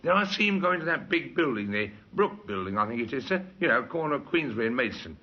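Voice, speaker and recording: A man plays a working-class cab driver in an old radio play. He is deferential and halting, with an animated rise and fall. The recording is dull and dated. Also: medium pitch, close to an everyday voice. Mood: deferential, earnest. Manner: hesitant, respectful, colloquial. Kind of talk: 1950s radio crime drama acting.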